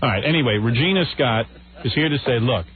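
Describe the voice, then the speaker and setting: A man's voice: mid-range voice, a little hiss or hum. Brash male radio host, tinny broadcast. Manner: brash, teasing, rapid pressing questions. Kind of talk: talk radio interview. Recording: tinny, band-limited radio broadcast with studio laughter.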